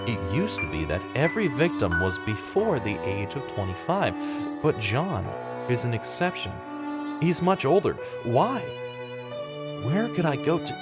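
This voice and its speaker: A man playing a skeptical, dogged, brooding investigator in a vintage-style radio mystery, with a questioning, deliberate, dramatic delivery. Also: mid-range voice. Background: music.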